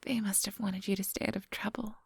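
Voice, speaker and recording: A woman playing a centuries-old vampire boss in an ASMR roleplay, whispery and breathy, speaking close to the microphone in a silky, patronizing, quietly menacing manner. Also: medium pitch, fairly even intonation, dry recording. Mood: guarded, smooth. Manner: slow, unhurried, silky and patronizing.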